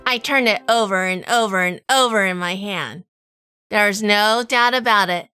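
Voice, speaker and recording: A woman's voice: medium pitch, normal speed, bright, forceful tone, smooth, dry recording, clean studio sound, faint music behind, close to an everyday voice. A woman playing a shrewd, probing heroine who narrates and talks in a noir audio drama. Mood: reflective, pained.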